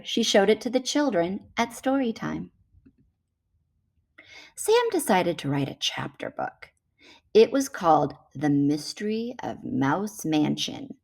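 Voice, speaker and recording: A woman reading a children's picture book aloud in an animated storytelling manner. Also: sits low, vocal fry, breathy edge.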